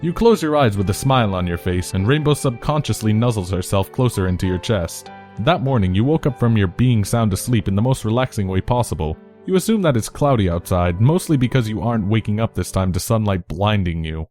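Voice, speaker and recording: Casting: male story narrator, low register, casual and expressive, light music underneath. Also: brisk, few pauses. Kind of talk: second-person fan fiction narration.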